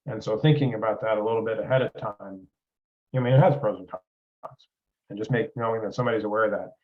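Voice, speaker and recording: A man facilitating a group training discussion in a deliberate, explanatory way, often rephrasing questions. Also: lowish voice. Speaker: meeting facilitator. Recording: dull lo-fi meeting audio.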